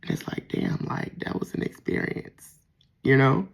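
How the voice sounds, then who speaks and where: A man with a soft voice, talking reflectively in a casual personal monologue with frequent pauses and restarts. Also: rough recording. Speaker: vlogger.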